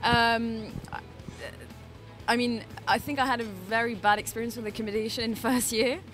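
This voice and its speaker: A young woman student speaks outdoors with frequent pauses and restarts, hesitant and halting. Clear music runs behind her voice. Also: fairly high pitch, fairly even intonation, a bit bright. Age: young adult. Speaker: university student. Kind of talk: casual street interview answers. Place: outdoors in a city.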